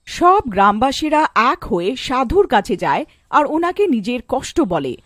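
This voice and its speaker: A woman's voice: high voice, big pitch swings, measured pace, a bit bright, dry recording, clean studio sound. A woman narrating a scripted fairy tale as storyteller.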